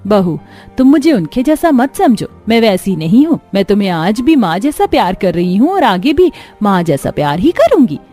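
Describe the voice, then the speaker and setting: Woman acting a middle-aged cartoon mother-in-law, kind, patient, gentle, mellow, reassuring. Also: mid-range voice, big pitch swings, moderate pace, soft background music. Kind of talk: cartoon story dialogue.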